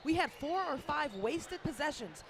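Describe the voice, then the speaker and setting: A woman's voice: high-pitched, very animated, moderate pace. Female courtside basketball analyst, noisy arena. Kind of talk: live basketball commentary. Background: basketball game noise, bouncing balls.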